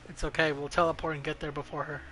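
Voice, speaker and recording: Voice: mid-range voice, flat delivery, fast talker, fairly bright tone. A streamer giving casual, crude and irreverent game commentary, sometimes reading the dialogue aloud.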